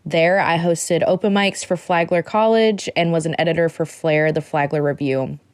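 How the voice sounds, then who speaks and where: A woman's voice: low-pitched, steady tone, normal speed, a little mellow, clean tone, dry recording. A woman with an American accent introducing and reading her work as a poet. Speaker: poet and editor. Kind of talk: personal introduction to a poetry reading.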